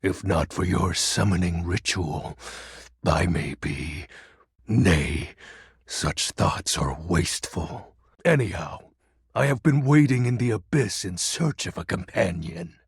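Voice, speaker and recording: An actor voicing a melodramatic, brooding game character, a personification of darkness who talks in pompous, theatrical, archaic phrases with a gravelly, husky voice. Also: male voice, low-pitched, expressive, slow pace, no echo, studio quality, heavily acted. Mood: brooding, grandiose. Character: melodramatic brooding Lord of Shadows, personified darkness, secretly dorky. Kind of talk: video game character voice acting.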